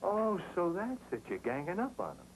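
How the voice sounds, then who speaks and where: An actor playing a cocky, defensive American sailor in a 1950s TV crime drama. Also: male voice, high voice, wildly swooping pitch, moderate pace, slight background noise, rough recording. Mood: defensive, accusing. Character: cocky, defensive tough-guy sailor brother. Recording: old TV soundtrack with music.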